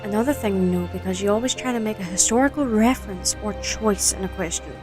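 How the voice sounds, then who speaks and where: A woman's voice: mid-range voice, fast, over music, close to an everyday voice. A young woman playing a skeptical but easygoing college student in an amateur audio drama, speaking conversationally with a sardonic edge.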